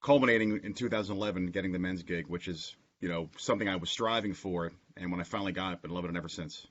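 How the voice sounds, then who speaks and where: Quick-talking, low-voiced man from Philadelphia. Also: fairly bright tone, highs cut off. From Philadelphia, United States. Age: 40s. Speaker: guest, college sports public address announcer. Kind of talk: conversational career recap.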